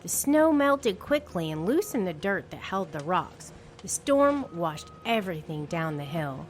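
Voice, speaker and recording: A woman's voice: lowish voice, big pitch swings, measured pace, slight background noise, pitched below the performer's own voice. A woman voicing a confident boy leader of rescue pups in a storybook, reassuring and decisive. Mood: explaining.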